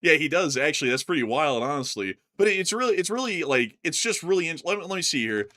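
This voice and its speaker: Rambling bright-voiced man. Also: on the high side, very animated, fast talker. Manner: rambling, lots of fillers, laughs easily. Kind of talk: casual chat. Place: screen-sharing while talking.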